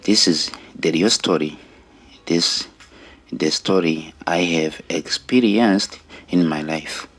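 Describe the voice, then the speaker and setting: Man, forties, Rwandan accent, deep and unhurried, earnest testimony delivery. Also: fairly bright tone. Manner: earnest, deliberate, with pauses between short phrases. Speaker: storyteller sharing own life story. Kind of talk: personal testimony.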